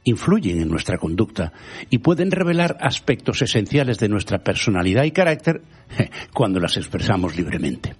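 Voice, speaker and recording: A man whose first language is Galician, hosting a radio show with a measured, rhetorical scripted editorial. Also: fairly low pitch, sing-song, breathy edge. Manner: measured, rhetorical, quotes proverbs and thinkers.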